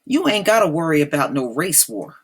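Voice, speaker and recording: A woman's voice: low-pitched, sing-song, a little fast, husky. An American woman giving informal opinion commentary in a blunt, disapproving, emphatic manner, repeating phrases for effect. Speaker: online commentator.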